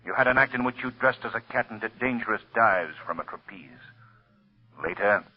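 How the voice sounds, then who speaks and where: Mysterious radio avenger, ominous and taunting, husky, dull old 1930s recording. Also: male voice, fairly low pitch, fairly quick, soft background music. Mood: ominous authority. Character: commanding, mocking, mysterious invisible crime-fighting avenger.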